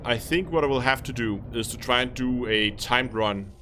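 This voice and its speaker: Danish male streamer, mid-pitched, low-key thinking-aloud commentary, faint hum behind. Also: moderate pace. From Denmark. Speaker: game streamer. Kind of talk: casual live-stream commentary while playing.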